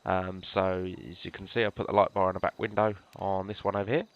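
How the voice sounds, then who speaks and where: A man's voice: low-pitched, flat delivery, a little fast, dull audio. A British man with a stuffy head cold, casually walking viewers through police cars in a game, rambling with many ums and restarts. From United Kingdom. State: congested from a cold. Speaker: gamer showing police cars. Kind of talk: casual gameplay walkthrough.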